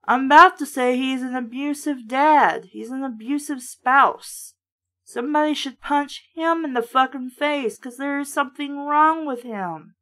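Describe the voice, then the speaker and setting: A woman's voice: high-pitched, a little slow, bright, forceful tone. A woman reading a storybook aloud and adding blunt, sarcastic, sweary asides of her own. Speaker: book reader and reviewer.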